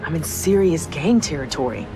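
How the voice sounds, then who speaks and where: A woman's voice: sits low, expressive, moderate pace, over music. An actress playing a cautious young woman arguing against a risky plan, in a game cutscene. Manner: exasperated, arguing. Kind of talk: video game cutscene acting.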